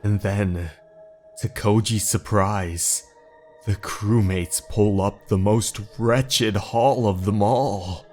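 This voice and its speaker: A man narrating a horror story in a slow, dramatic, brooding way. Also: on the low side, fairly even intonation, a little mellow, soft background music.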